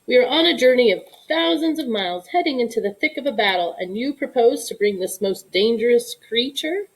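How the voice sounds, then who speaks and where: A blustering army colonel from a storybook, put on by a woman reader. He speaks with pompous formality and swooping, animated pitch. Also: a little fast, low-quality recording. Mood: stern, indignant. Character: stern male army colonel, formal and blustering, easily disarmed. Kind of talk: storybook read-aloud character.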